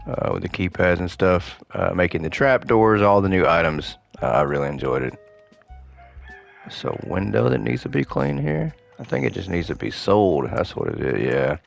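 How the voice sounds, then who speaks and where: Deep, gravelly man commentating on a game. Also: normal speed, soft background music. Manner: relaxed thinking aloud, muttering to himself while playing. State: slightly hoarse, voice starting to go. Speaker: gaming host playing a renovation game. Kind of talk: casual gameplay commentary.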